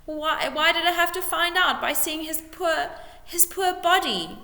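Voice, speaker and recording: A woman's voice: extremely high pitch, measured pace, creaky, bright, forceful tone, pitched above the performer's own voice, heavily acted. A woman voicing a grieving widow in a storybook reading, sobbing, faltering and pleading. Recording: echoey room with long reverb.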